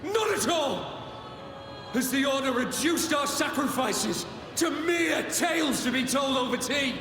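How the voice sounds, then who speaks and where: An actor playing an anguished, bitter knight who fought in battle, ranting in loud, theatrical shouts in a game. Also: male voice, very high voice, expressive, measured pace. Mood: furious, bitter. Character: anguished male war veteran knight denouncing hypocrites. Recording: reverberant hall with music behind.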